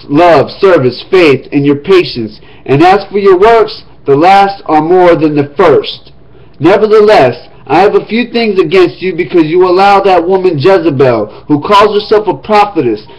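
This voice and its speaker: A man reading scripture aloud in a steady voice, now and then breaking off into casual asides to his listeners. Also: high-pitched. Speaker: scripture reader. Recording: dull lo-fi recording.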